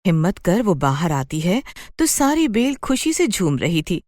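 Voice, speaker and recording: Woman reading a kids bedtime story, lowish voice, really expressive, dry close recording. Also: measured pace, dry recording. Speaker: narrator. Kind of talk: scripted children's story narration.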